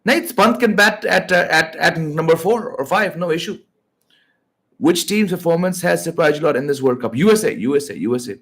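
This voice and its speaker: A man hosting a cricket live stream from home. He reads out viewer comments, teases the chat in an excitable way, and slips into Hindi. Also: fairly high pitch, a little fast. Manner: teasing, excitable, switches into Hindi.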